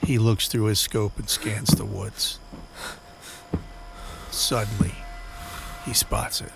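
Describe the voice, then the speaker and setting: Guy w a lowish voice narrating a creepy crime drama, measured n deliberate, music behind him. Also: flat delivery, vocal fry, rough recording. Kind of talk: scripted crime-horror audio drama narration.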